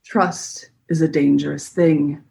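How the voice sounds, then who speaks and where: A woman's voice: low-pitched, fairly even intonation, takes it slowly, gravelly, darkish tone, exaggerated voice. A woman playing a cold, suspicious military aide in a comedy sci-fi radio play, speaking in a clipped, formal, probing way. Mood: distrustful.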